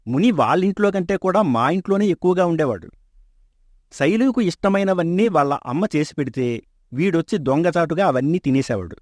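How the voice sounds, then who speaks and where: A man's voice: fairly high pitch, no room sound, close to an everyday voice. A man voicing a kindly rich old merchant father. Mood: teasing. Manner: calm, fatherly and assured. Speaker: shop owner. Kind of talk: animated storybook dub. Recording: dry close studio mic.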